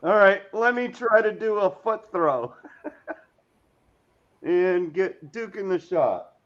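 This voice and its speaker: Slow-talking man on lo-fi audio. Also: high voice. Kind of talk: casual livestream chat. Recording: dull lo-fi livestream audio.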